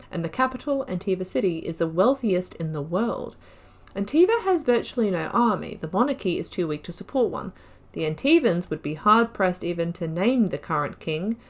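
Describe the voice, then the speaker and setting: A woman hosting a game playthrough, reading lore entries aloud and breaking off into casual, rambling asides. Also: mid-range voice. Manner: chatty, trails off and restarts mid-sentence. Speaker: gaming video host.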